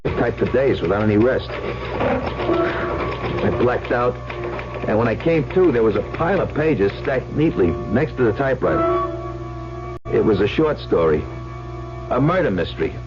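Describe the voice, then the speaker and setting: A man's voice: mid-range voice, animated, normal speed, long pauses, loud music behind the voice, lightly acted. An actor playing a cynical, slangy, money-hungry gambler poet who tells his own story in an old-time radio drama. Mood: wry, cynical. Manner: wisecracking, slangy, hard-boiled. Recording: muffled old radio recording.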